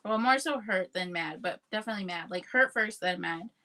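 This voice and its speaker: A woman's voice: medium pitch, fairly quick, bright, forceful tone. A woman chatting casually about books on a livestream, informal and earnest in manner. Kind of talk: casual book chat.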